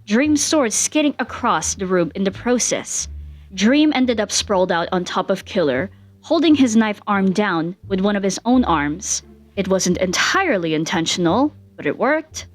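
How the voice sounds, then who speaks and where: Animated woman narrating fan fiction. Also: mid-range voice, moderate pace, soft background music.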